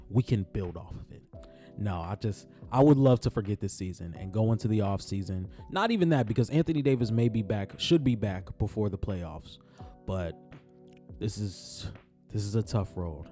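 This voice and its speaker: A man talking casually about basketball in his own vlog, his voice raspy from just waking up, laid-back and repeating himself as he speaks from the heart. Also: lowish voice, warm tone. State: raspy morning voice. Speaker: sports vlogger. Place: on his way to work.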